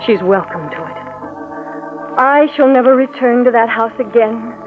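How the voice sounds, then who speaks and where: An actress playing a somber young heroine, narrating with measured, wistful drama in 1940s radio style. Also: female voice, on the high side, lightly acted. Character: somber young heroine recalling past terror. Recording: tinny vintage radio recording. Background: organ music.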